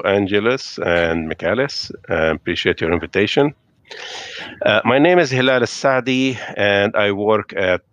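Middle-aged man, deliberate. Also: sits low, dry recording, highs cut off. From Lebanon. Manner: thoughtful, hesitant with fillers, slightly non-native phrasing. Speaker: podcast guest. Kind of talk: remote interview conversation. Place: home. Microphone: video call.

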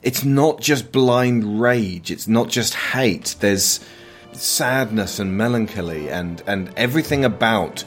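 Deep-voiced man, thoughtful, soft music behind. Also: slow. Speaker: film podcast host. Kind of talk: reflective film discussion.

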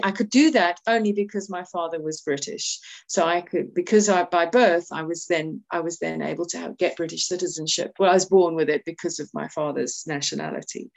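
A middle-aged woman from South Africa with a South African accent, sharing her recovery story in a heartfelt, halting way. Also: on the low side. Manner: heartfelt, halting, many restarts. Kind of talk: personal testimony at a recovery meeting.

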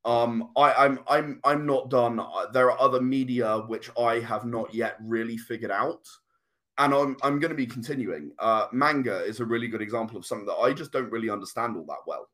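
Guy being interviewed, middle pitch voice, thoughtful, explains his creative stuff at length. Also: brisk, fairly bright tone. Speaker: comic and game writer, interview guest.

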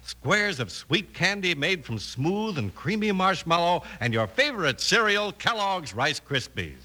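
A man's voice: high voice, sing-song, unhurried, pushed and bright. An American man announcing and narrating in scripted 1950s radio style, with a polished showman's delivery. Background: music.

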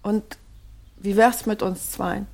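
An actress playing a wistful but stubborn wife in couples therapy, in a naturalistic German audio drama. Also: female voice, mid-range voice, fairly even intonation, takes it slowly, gravelly, a little mellow. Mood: tender, hopeful.

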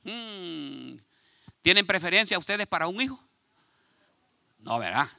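Male pastor, Ecuadorian accent, high voice, very bright and forceful, dry close sound, lively preaching. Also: takes it slowly, vocal fry, no room sound. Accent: Ecuadorian Spanish. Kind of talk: sermon. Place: church.

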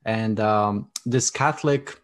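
A man's voice: lowish voice, takes it slowly. A middle-aged man whose first language is Polish, a guest author in a podcast interview, talking in a rambling way with many restarts. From Poland. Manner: rambling, repeats words and restarts often.

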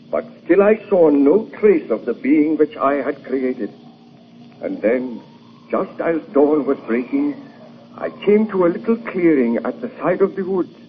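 A driven, guilt-ridden young scientist in an old radio play, speaking with a lively, swinging delivery in a slightly high voice. The sound is dull and muffled with faint hiss. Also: male voice. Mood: narrating. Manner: earnest, pleading, dramatic. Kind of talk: 1940s radio acting.

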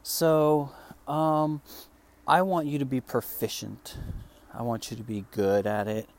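A man whose first language is Spanish, coaching English learners in a calm, relaxed way with many fillers. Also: mid-range voice, slow. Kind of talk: relaxed teaching monologue.

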